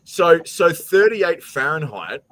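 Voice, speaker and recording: A man's voice: fairly high pitch, very animated, slow pace, fairly bright tone, no echo. A man hosting a casual quiz among friends, bantering bluntly and often repeating words as he starts. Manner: teasing, blunt, stammering repeats. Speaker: show host running a quiz.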